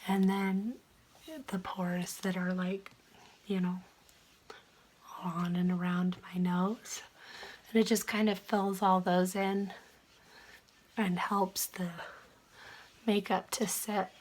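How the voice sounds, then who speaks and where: A woman who is sick and losing her voice, chatting softly through a makeup tutorial, often dropping into a whisper. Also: medium pitch, a little hiss or hum. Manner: soft, chatty, trailing into whispers. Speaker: beauty vlogger.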